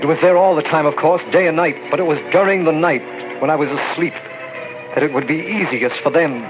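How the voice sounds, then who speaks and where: An actor playing a frightened radar officer who stammers and narrates in a haunted way, in 1940s radio acting style. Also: male voice, on the high side, brisk, natural voice. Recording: dull old radio recording with music.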